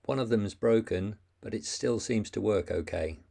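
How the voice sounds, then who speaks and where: Low, mellow man giving step-by-step setup instructions. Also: normal speed, gravelly. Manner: plain, methodical instructions with small slips. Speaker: instructional narrator. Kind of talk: step-by-step how-to voice-over.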